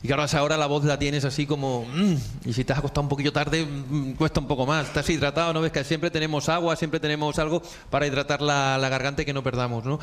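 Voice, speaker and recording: Male radio host, chatty and self-mocking, slightly higher voice, moderating a panel. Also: steady tone, fast talker, hardly any pauses. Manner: chatty, self-deprecating, lots of fillers.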